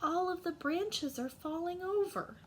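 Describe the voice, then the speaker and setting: A woman's voice: very high-pitched, measured pace, a little hiss or hum, voice pushed up. A woman voicing a sentimental old lady in a children's storybook, plaintive and emphatic. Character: sentimental old lady devoted to her thorn bush.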